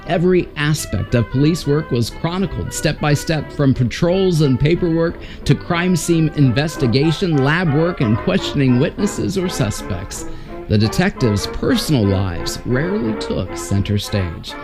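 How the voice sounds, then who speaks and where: A man's voice: on the high side, expressive, a little slow, music in the background. A man hosting with scripted, announcer-style narration. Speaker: host. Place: voice-over with music behind.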